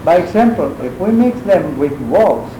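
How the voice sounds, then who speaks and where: A man with a Mexican accent and Spanish as his first language, giving an art lecture in English, halting and searching for words. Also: high-pitched, lively intonation. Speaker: guest painter lecturing. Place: university lecture room. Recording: echoey classroom with noise.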